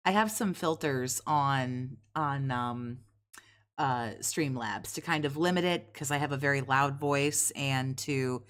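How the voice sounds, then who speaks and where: Loud sarcastic woman streamer, low voice. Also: takes it slowly. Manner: sarcastic, profane, loud and mocking. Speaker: streamer commentating on another streamer. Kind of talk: mocking live-stream commentary. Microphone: desk USB condenser mic with pop filter.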